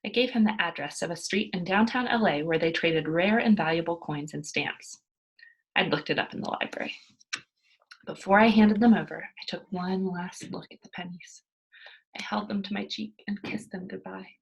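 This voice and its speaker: A woman reads a children's story aloud in a lowish voice with a slight husk. Her delivery is expressive and emphatic, dropping into hushed moments for drama, like a teacher reading to pupils. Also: normal speed, vocal fry, slightly rough, low-quality recording.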